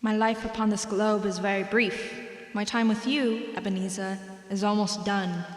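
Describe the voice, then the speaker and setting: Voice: mid-range voice, fairly even intonation, normal speed, lightly acted. A performer playing a solemn, stern spirit who admonishes a miser in a declamatory radio drama style. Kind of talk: school radio drama acting. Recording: echoey hall with long reverb.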